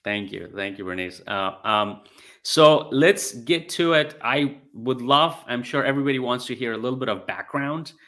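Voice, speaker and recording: A man's voice: mid-range voice, moderate pace, fairly bright tone. A man hosting an interview over a video call, hesitant with many fillers between phrases. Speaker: podcast host.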